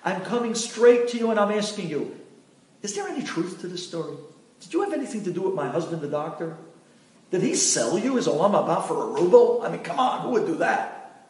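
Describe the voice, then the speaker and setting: A man voicing a grieving widow who speaks softly and hesitantly, stammering now and then. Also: high-pitched, big pitch swings, fairly quick. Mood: earnest. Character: grieving widow, anxious and earnest. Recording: echoey room, distant mic.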